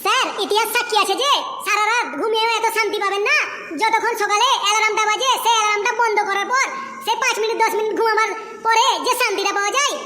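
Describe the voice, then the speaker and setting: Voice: very high-pitched, fairly even intonation, fast, pushed and bright, echoey room. A performer voicing a cheeky cartoon schoolboy, earnest and wordy while giving absurd answers. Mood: mock-earnest. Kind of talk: comic cartoon dialogue.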